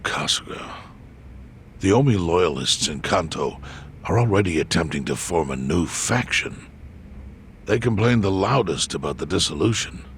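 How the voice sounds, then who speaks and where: An actor playing a grave, weary elderly yakuza chairman in a dramatic video game, speaking in a measured, solemn way with a gravelly voice. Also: low voice, animated, slight background noise.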